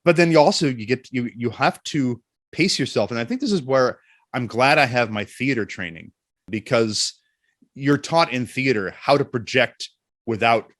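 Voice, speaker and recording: A man speaking as an interview guest and voice actor, telling stories in an expressive, jokey, self-deprecating way. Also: medium pitch, normal speed, no echo, clean studio sound. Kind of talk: animated interview storytelling.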